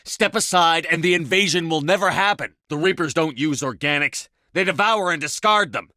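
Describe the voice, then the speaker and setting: An actor playing a resolute, no-nonsense military commander in a science fiction video game, with firm, clipped, commanding delivery. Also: male voice, fairly high pitch, moderate pace, bright, forceful tone, no room sound, studio quality. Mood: intense, confrontational. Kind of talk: video game voice acting, scripted dialogue lines.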